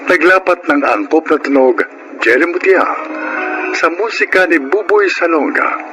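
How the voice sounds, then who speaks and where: A man's voice: on the high side, lively intonation, normal speed, low-quality recording. A man announcing for a radio drama in a scripted, presentational style. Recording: music behind radio voice.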